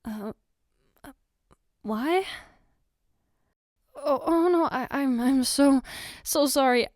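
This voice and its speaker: A woman performs a caring young girlfriend in an intimate ASMR roleplay. Her voice sits fairly high and moves very slowly, with gentle, hesitant phrasing as she reassures her partner. The sound is dry and close. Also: no echo. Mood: flustered, apologetic. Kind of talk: ASMR roleplay, spoken to the listener.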